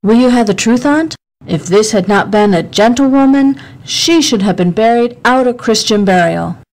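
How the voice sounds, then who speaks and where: A woman's voice: on the high side, lively intonation, moderate pace, darkish tone, rough recording. A woman playing a simple, questioning rustic gravedigger's sidekick in a Shakespeare audiobook. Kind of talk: dramatic audiobook reading of Shakespeare.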